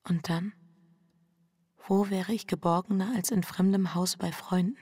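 A woman's voice: on the low side, steady tone, measured pace, mellow, husky, no echo, clean studio sound. An actress playing an anxious, unfaithful wife who confides her inner life intimately and intensely in a literary radio play. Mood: anxious, hushed. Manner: intimate, intense first-person narration. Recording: music behind the voice. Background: music.

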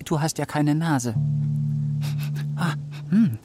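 A man's voice: on the high side, takes it slowly. An actor playing a wily talking fox, proud of his keen nose, terse and self-assured, in a children's radio play. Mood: smug. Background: plucked string music.

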